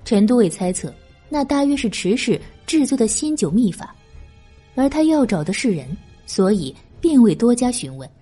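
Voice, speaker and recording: A woman narrates a historical audiobook. Her voice is fairly high and very expressive, with a mellow, slightly husky tone. Music plays behind her. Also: normal speed. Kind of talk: dramatic scripted narration of a historical novel.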